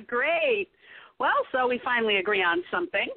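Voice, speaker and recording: Radio play role: woman as mocking, exclamatory sister, fairly high voice, dry telephone-like sound. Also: very animated, measured pace, no echo. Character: sardonic, teasing sister of the actress. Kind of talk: amateur radio play acting. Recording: thin, telephone-like radio audio.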